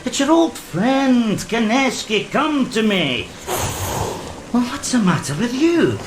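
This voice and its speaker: Casting: anxious ship's sailor, very animated delivery, echoey space with rain behind. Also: male voice, very high-pitched, slow pace, full character voice. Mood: coaxing, uneasy. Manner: coaxing, calling out. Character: anxious sailor hunting the ship's lost cat. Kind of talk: gothic horror audio drama acting. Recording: echoey, rain and thunder noise.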